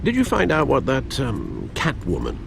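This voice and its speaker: An actor playing a loyal, fatherly old butler in a video game, formal, sardonic and gently scolding. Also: medium pitch, wildly swooping pitch, moderate pace, gravelly, background noise, exaggerated voice. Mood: wry, chiding.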